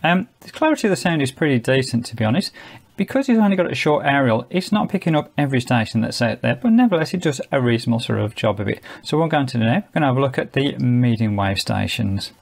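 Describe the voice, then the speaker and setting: Gravelly man reviewing gadgets. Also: mid-range voice, sing-song, fast. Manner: chatty, explanatory, step-by-step. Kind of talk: casual product demonstration to camera.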